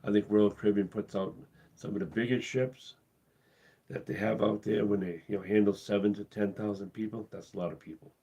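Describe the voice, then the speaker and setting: A man hosting his cruise channel live, losing his voice and talking in a halting, casual way with many pauses and restarts. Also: on the low side, steady tone, dark tone, dull audio. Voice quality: husky. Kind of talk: casual live-stream talk.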